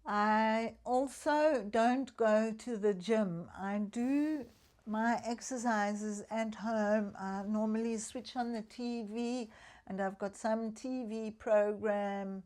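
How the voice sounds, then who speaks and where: Slow-talking older woman. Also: on the high side, fairly even intonation, darkish tone. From South Africa. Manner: chatty and candid, talks frankly about money. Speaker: retiree vlogger. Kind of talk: casual personal vlog.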